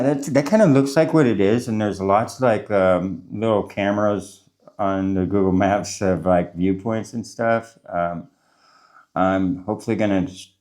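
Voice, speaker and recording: A deep, low-pitched man with a stuffed-up, congested voice chats straight into a lapel mic. He wanders through his thoughts with plenty of ums and false starts, in the loose manner of a morning travel vlog. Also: a little mellow. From United States. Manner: rambling, full of fillers and restarts. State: congested from a cold. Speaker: travel vlogger. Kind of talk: casual morning vlog to camera. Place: small courtyard room with a concrete wall, indoors. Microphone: clip-on lapel mic.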